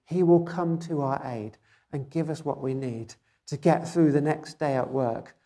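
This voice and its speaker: A soft-spoken preacher delivers a sermon in a gentle, measured way, often turning to the congregation with rhetorical questions. The voice is quiet and not strong, with a mellow, warm tone. It is carried through a microphone and speaker system in a church. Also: medium pitch. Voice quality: quiet, relatively weak voice.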